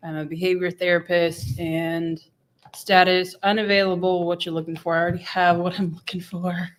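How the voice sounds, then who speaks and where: A woman's voice: fairly low pitch, level tone, moderate pace. A woman in her twenties, a podcast guest, introducing herself in a playful, cheerful way. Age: 20s.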